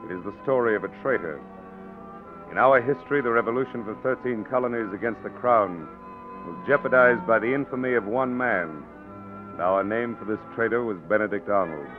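A man narrating a 1940s radio drama in a solemn, portentous manner, with deliberate phrasing. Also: fairly low pitch. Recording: tinny 1940s radio recording, music behind.

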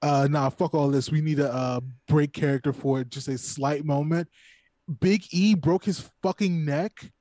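A man's voice: on the high side, steady tone, a little slow, mellow, no echo, studio quality. Blunt, profane man chatting. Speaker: podcast co-host. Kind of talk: casual chat.

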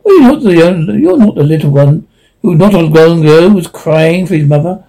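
A man's voice: fairly high pitch, expressive, normal speed, vocal fry, warm tone, rough recording. A man voicing a solemn, majestic old stag who questions a young fawn, in a storybook reading. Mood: probing. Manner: solemn, probing.

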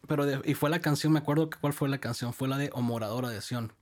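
A man from El Salvador who directs a music school, relaxed and rambling as he tells his life story in an interview. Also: medium pitch, fast talker. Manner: relaxed, rambling, many restarts.

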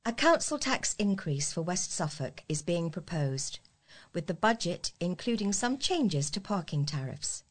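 A woman's voice: lowish voice, sing-song, measured pace. A woman reading scripted local news as a volunteer newsreader.

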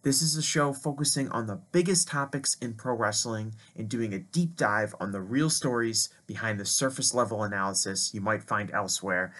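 A man's voice: mid-range voice, fairly bright tone. A man reads a scripted promo for a podcast. His delivery is earnest and polished, with a mid-range voice.

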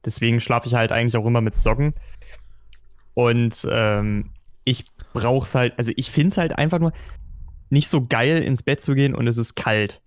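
A man's voice: mid-range voice, moderate pace, no echo. Man, easygoing podcast co-host, frank slangy talk, dry close sound. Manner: relaxed, colloquial and frank. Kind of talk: casual chat.

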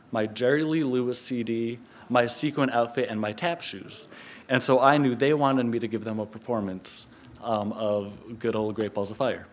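Laughing, animated man telling a story. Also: medium pitch. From Connecticut, USA. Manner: animated, laughing, many filler words. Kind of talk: live personal storytelling on stage. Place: live stage before an audience.

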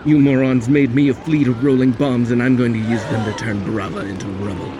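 A man's voice: medium pitch, steady tone, a little fast, crowd noise, noisy background, natural voice. An actor playing a menacing male supercriminal gang leader who gloats and threatens.

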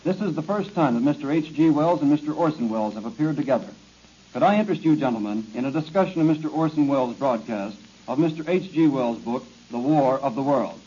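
Husky, mellow American radio announcer, muffled. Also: male voice, medium pitch, animated, quick pace. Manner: formal, ceremonious. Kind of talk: formal 1940s radio announcing. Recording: muffled 1940 radio archive recording.

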